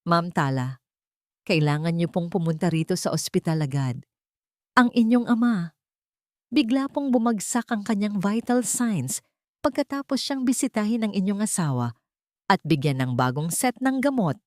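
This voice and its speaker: A woman's voice: mid-range voice, expressive, normal speed, darkish tone, dry recording, studio quality, natural voice. Woman voicing an urgent, polite care-home caller.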